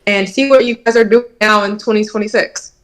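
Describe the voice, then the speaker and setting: A woman's voice: mid-range voice, steady tone, fairly quick, fairly bright tone. A woman co-hosting a podcast, who sounds eager and friendly in casual chat. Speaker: podcast co-host.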